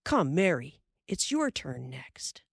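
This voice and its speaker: A woman reading the part of a calm, firm pioneer father in a storybook. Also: deep voice, slow, creaky, breathy edge, dry recording, studio quality, full character voice. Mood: gentle. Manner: firm, reassuring.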